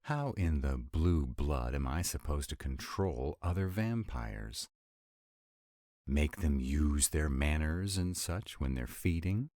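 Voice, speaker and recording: A man's voice: expressive, takes it slowly, a little mellow, no room sound, studio quality, full character voice. A man playing a sarcastic, grumbling yet affectionate vampire in a romantic roleplay audio, with exasperated, intimate delivery. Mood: exasperated ranting. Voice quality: very deep voice. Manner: sardonic, exasperated, intimate, swooping emphasis.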